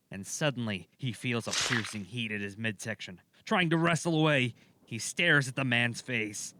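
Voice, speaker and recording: A man's voice: medium pitch, noisy background. A man narrating an audio drama as a scripted story, in a dramatic storytelling style.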